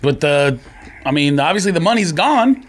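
A man's voice: fairly high pitch, very animated, moderate pace. A man co-hosting a podcast with casual sports analysis, explaining his argument point by point. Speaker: podcast co-host.

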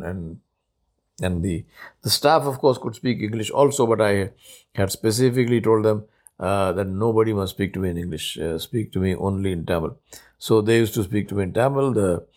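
A man in his seventies from India, whose first language is Urdu, hosting a solo podcast in a thoughtful, unhurried way with many pauses. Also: lowish voice, darkish tone. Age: 70s. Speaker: podcast host. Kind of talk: reflective solo podcast monologue.

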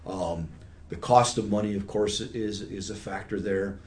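Guy in his 60s, kinda low voice, patiently explaining stuff in an interview, plain everyday examples. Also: measured pace, a little mellow. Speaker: guest financial expert.

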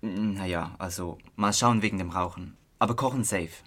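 Low-voiced teenage boy, casual and curious acted by a young man. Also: moderate pace, fairly bright tone. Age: young adult. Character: curious, easygoing teenage boy trying partying for the first time. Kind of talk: amateur radio drama dialogue.

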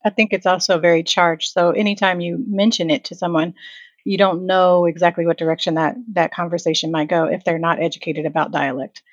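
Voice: medium pitch, flat delivery, brisk, no room sound, muffled recording. A panelist on a podcast, reasoning aloud in a thoughtful way. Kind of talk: podcast discussion.

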